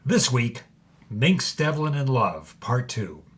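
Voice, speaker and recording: An actor playing the host of a dramatic documentary series, delivering a scripted, grand introduction. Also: male voice, medium pitch, very animated, slow. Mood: grand, announcing.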